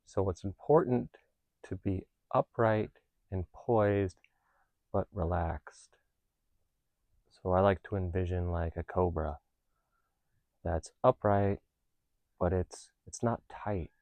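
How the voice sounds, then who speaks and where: A man leading a guided meditation, giving gentle instructions in a calm, unhurried, reassuring manner. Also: low voice, dry recording, narrow, tinny audio. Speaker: meditation teacher.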